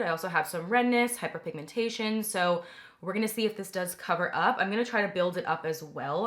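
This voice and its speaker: A young woman talking through a makeup product review in a chatty, enthusiastic way, her voice slightly raspy from a recent cold. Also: medium pitch, fairly bright tone. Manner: chatty, enthusiastic, many "like" fillers. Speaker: beauty vlogger.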